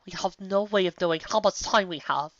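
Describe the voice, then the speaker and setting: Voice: mid-range voice, brisk, fairly bright tone, dry recording, highs cut off, voice pushed up, lightly acted. A performer voicing a prim, serious, educated female pony musician who speaks formally and precisely in a story reading. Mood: earnest, worried.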